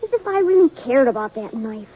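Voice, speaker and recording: A young runaway boy in an old radio western. The voice is high, plain-spoken and a little hesitant, polite but wounded underneath. The sound is dull and vintage. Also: very animated, fairly quick, slightly rough. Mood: sullen, hurt. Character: orphaned young runaway boy, sullen yet curious. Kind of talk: 1950s radio western acting.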